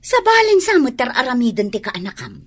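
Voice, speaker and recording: A woman's voice: a little high, big pitch swings, brisk. A woman with a Filipino accent playing an insistent gossip in an Ilocano radio drama. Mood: insistent, gossipy. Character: insistent female gossip reporting a scandal. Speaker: drama actor.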